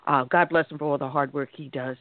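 A man's voice: on the high side, steady tone, quick pace, no room sound. A man hosting a conservative talk radio show, upbeat and wrapping up the guests. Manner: upbeat, repeats words as he starts. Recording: thin phone line, dry.

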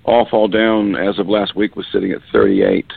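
A middle-aged man speaks with a raspy, gravelly voice that sits low. He talks as a guest in a loose, rambling way, full of fillers. The sound comes dry and tinny down a phone line. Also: normal speed, dry recording. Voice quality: raspy voice after illness. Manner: relaxed, rambling, many fillers. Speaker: musician guest. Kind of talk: phone interview on radio.

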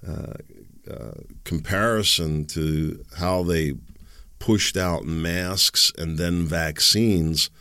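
A man's voice: low-pitched, very slow, pushed and bright, smooth, no room sound, studio quality. A man in his fifties hosting a solo podcast, rambling with sarcastic and self-deprecating asides. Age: 50s. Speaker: podcast host. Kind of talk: casual solo podcast monologue.

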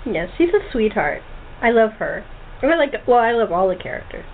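Tinny phone-like line, chatty woman interviewer, higher voice, faint hiss, casual. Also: sing-song, brisk. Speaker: host, playwright and director interviewing cast.

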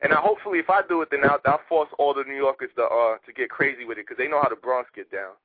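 A man from Haiti, a rapper guest talking casually in a radio interview, animated and streetwise. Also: medium pitch, no echo. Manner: animated, streetwise, rapid talk with repeated "you know what I mean". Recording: tinny phone line, dry.

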